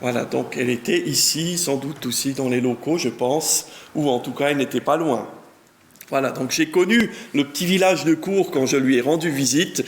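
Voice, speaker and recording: A man's voice: medium pitch, normal speed, a little hiss or hum. A German-speaking Swiss man in his fifties, a guest pastor preaching and reading scripture in French with a Swiss German accent, genial and chatty. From German-speaking part of canton of Bern, Switzerland. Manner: genial, chatty, self-deprecating, reads scripture with lively emphasis. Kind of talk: sermon with Bible reading.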